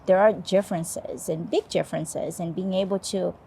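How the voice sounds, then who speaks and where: A woman's voice: fairly low pitch, fairly even intonation, normal speed, vocal fry, mellow, a little hiss or hum. A woman with a Brazilian Portuguese accent, a guest speaking English as her second language, talking casually and often adding "right?". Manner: fillers, frequent tag "right?". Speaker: HR business partner, guest. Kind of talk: casual interview talk.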